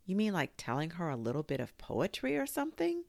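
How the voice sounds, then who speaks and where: A woman voicing an amiable, slow-witted male toy bear in a children's storybook, speaking simply and earnestly with some hesitation. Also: on the low side, huge pitch swings, creaky, a little mellow, dry recording, pitched above the performer's own voice, full character voice. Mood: earnest, puzzled.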